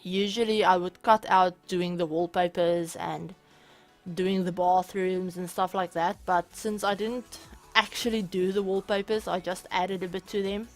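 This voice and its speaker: A woman with a slightly low, hoarse voice talks through her game build in a loose, chatty way. She wanders and corrects herself as she goes. Faint music plays quietly underneath. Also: fairly even intonation, unhurried. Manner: rambling, self-correcting, chatty. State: hoarse from illness. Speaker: channel host. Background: soft background music.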